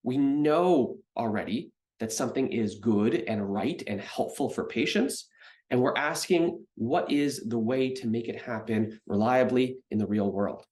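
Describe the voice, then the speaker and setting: A man's voice: mid-range voice, a little slow, darkish tone. A Canadian man in his forties giving a recorded conference talk in a conversational way, with many ums and uhs, close to a webcam mic in a home office. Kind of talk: presentation lecture.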